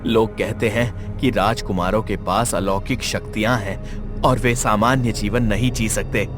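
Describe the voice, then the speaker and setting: A man playing a grave, respectful royal minister, speaking in a measured, deferential way in an animated folk tale. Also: on the low side, music in the background, lightly acted. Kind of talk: animated moral tale voice acting.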